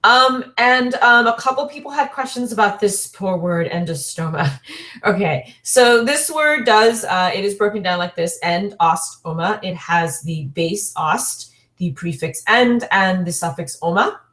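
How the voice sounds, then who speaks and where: A woman's voice: medium pitch, unhurried, fairly bright tone, echoey room. A woman in her forties giving a recorded online lecture, chatty and full of fillers, correcting herself and laughing lightly between points. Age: 40s.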